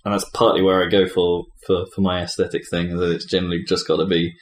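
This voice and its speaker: A man hosting a casual podcast discussion, thinking aloud in halting, emphatic phrases. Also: sits low, little pitch movement. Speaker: podcast host presenting award categories. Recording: roomy, faint background noise.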